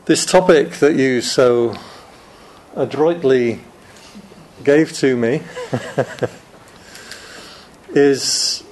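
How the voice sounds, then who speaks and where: A man, a Buddhist monk, giving a chatty Dharma talk to a room of students, joking and laughing often. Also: mid-range voice.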